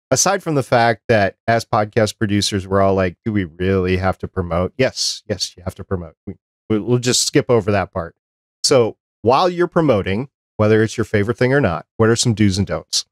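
A man's voice: lowish voice, no room sound, clean studio sound. A man in his fifties hosting a casual interview, wry and steering the conversation along. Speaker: podcast host. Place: home office, video call. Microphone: close studio mic with headphones.

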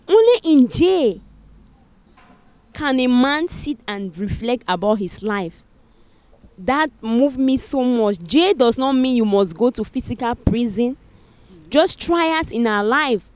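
A woman from Cameroon in her forties hosts an interview outdoors in a park, speaking into a handheld microphone. Her voice sits fairly high, and she gushes with excitement, repeating how happy she is. The delivery is warm-hearted and effusive. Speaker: talk show host. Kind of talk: enthusiastic interview hosting.

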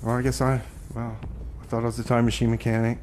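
A man's voice: mid-range voice, little pitch movement, quick pace, a little mellow. Casual male radio host, some background noise. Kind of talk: casual radio chat and comedy banter.

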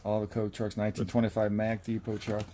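A man's voice: on the low side, level tone, fast, warm tone. A man hosting a casual unboxing in a cluttered storage room, upbeat and naming each item as he pulls it out. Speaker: auction host opening storage totes. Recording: noisy room background.

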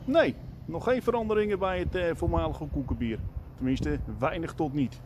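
A man's voice: a little high, normal speed, a little mellow, slight background noise. A man in his forties vlogging casually from a camera held at arm's length, musing aloud with lots of fillers as he rides around and heads home. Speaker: urbex vlogger. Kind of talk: casual vlog commentary. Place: outdoors on a scooter, later indoors in a stairwell.

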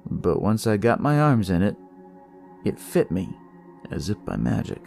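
Deep, gravelly, unhurried male storyteller. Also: expressive, darkish tone, soft background music. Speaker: narrator. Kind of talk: first-person story narration, noir detective tale.

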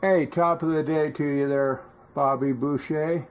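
A man's voice: a little high, steady tone, a little slow. An American man with a folksy, slangy way of talking, giving a casual hobbyist demonstration and chatting on CB radio. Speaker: radio repair technician and video host. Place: indoor radio workbench.

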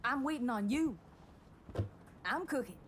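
A woman's voice: sits high, lively intonation, a little slow, noisy background. An actress playing a brash, sassy young woman in a video game, teasing and slangy. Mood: breezy. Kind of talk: video game cutscene acting. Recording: loud music over voice.

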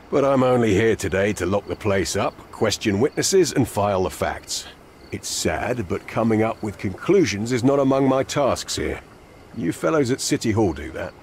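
An actor playing a weary, cynical older police inspector in a game, dry and sardonic. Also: male voice, lowish voice, a little mellow, a little hiss or hum. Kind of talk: dramatic game cutscene dialogue. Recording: faint night insect ambience behind.

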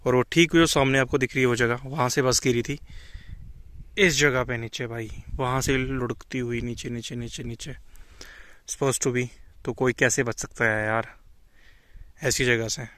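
A man's voice: medium pitch, steady tone, unhurried, long pauses, fairly bright tone, no echo. A young man casually narrating a travel vlog outdoors and in a small cave, talking to viewers as friends and exclaiming at the sights. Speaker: travel vlogger.

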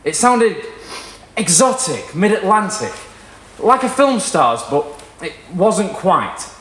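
A young man reading a literary short story aloud in a measured, dramatic way. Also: high-pitched, very animated, roomy sound, a crowd in the background, poor audio. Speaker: reader at a live short story event. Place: small indoor performance room with wood panelling.

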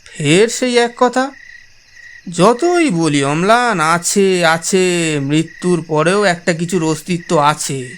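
A man's voice: sits high, slow pace, a little hiss or hum. A man playing a wry believer in ghosts, narrating a horror audio drama in a theatrical, teasing way. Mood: exasperated, insistent.

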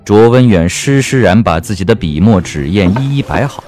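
Male audiobook narrator, wry, theatrical, animated intonation, soft-edged tone, faint music bed. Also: on the low side, moderate pace. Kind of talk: expressive scripted narration of a comic period novel.

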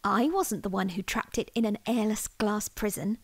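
A woman's voice: mid-range voice, moderate pace, husky, no echo. A woman playing an anxious, melodramatic young student in an audio drama, prickly, squeamish and sarcastic. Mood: sarcastic, uneasy.

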